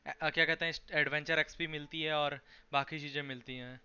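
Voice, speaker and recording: A man's voice: a little high, steady tone, rapid-fire, pushed and bright, smooth, highs cut off. A young adult man from India chatting casually as a gaming streamer, informal and mixing in English words. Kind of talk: casual gameplay chat.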